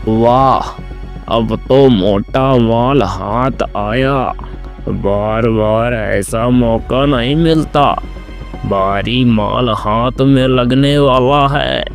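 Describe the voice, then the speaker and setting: A man's voice: medium pitch, extremely slow, creaky, soft background music. A man playing a greedy, two-faced village headman in a Hindi cartoon moral story, with a fawning, theatrical manner. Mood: gloating.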